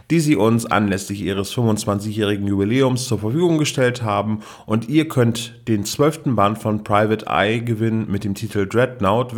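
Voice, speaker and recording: A man, hosting a podcast and chatting casually about radio dramas. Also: lowish voice. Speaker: podcast host.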